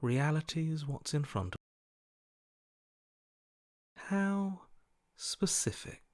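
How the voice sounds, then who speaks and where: A man's voice: fairly high pitch, animated, a little slow, a little mellow, dry recording. A man voicing a cold, detached, bored inner voice in a video game, speaking in a dry, blunt and dismissive way. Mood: coolly pragmatic.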